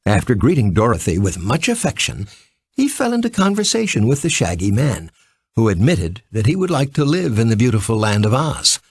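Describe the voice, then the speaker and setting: A man reading a scripted children's story as an expressive, theatrical narrator. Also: medium pitch, brisk, husky.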